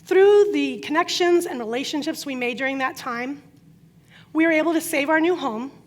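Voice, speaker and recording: A middle-aged woman whose first language is Croatian gives a prepared inspirational talk, telling her story earnestly with emphatic pauses. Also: high voice. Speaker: speaker.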